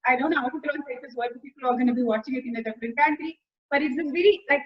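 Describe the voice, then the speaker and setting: A young South African woman with a Cape Town accent speaks in a high, lively voice, restarting and repeating words as she chats. Her sound is muffled and lo-fi, as if coming through a video call from a small room at home. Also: rapid-fire. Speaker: host. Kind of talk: casual conversation. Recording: dull lo-fi video call audio.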